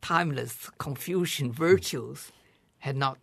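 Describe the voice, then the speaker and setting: Older male guest from China, seventies, thoughtful and unhurried, gravelly husky tone. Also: mid-range voice, a bit bright. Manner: measured and thoughtful, pausing to rephrase. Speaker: guest scholar. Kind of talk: reflective interview answers.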